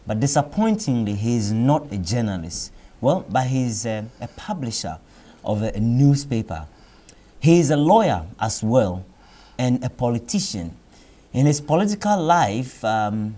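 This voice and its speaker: Mellow-voiced Ghanaian TV interviewer. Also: male voice, medium pitch. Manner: hesitant, many fillers and restarts. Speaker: TV interview host. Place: TV studio.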